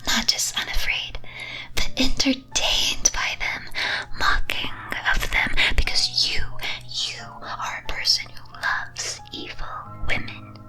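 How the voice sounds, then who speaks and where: A woman whispers a scripted ASMR monologue in a breathy, teasing, theatrical and deliberate way. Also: pushed and bright, soft background music, poor audio. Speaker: streamer performing as a character.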